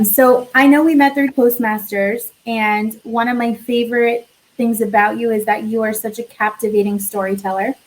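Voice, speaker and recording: Upbeat bubbly woman host, kinda high voice, chatting with a guest on a video call. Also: low-quality recording. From Westchester, New York, USA. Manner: enthusiastic, bubbly. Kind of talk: upbeat interview hosting.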